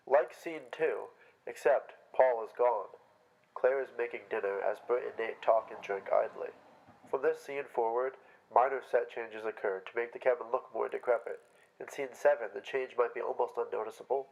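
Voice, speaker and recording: A man reading the stage directions of a play as scripted narration in a staged reading, with a gravelly voice. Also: mid-range voice, a little mellow, dull audio.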